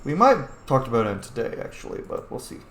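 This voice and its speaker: An excitable gamer commentates casually on a playthrough. The delivery is informal and very animated in pitch, recorded in a roomy space with faint noise underneath. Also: fast. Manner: excitable, informal, whoops and swears. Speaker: gamer playing and reading out dialogue choices. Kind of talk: casual let's-play commentary with role-played dialogue read aloud.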